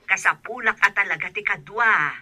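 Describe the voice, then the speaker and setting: An actress plays a friendly Filipino aunt calling her niece in an audio drama. Her voice is piercingly bright, with a telephone effect. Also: mid-range voice, moderate pace, slightly rough.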